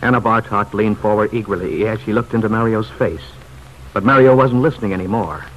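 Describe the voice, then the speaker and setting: A man's voice: fairly low pitch, a little fast. A man narrating a vintage radio drama in a scripted, dramatic storytelling style. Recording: faintly noisy old radio recording.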